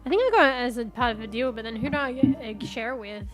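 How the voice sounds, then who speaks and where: A woman's voice: on the high side, quick pace, soft background music. A congested woman, rambling in casual live-stream chat as a streamer, swearing and reading search results aloud. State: congested, losing her voice.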